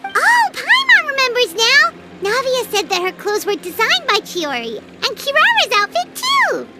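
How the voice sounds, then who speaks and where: Squeaky, animated tiny fairy companion. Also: female voice, measured pace, over loud music, heavily acted. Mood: eager, cheerful. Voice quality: squeaky, childlike. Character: tiny chirpy childlike fairy companion, eager and kindly. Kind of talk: video game voice acting.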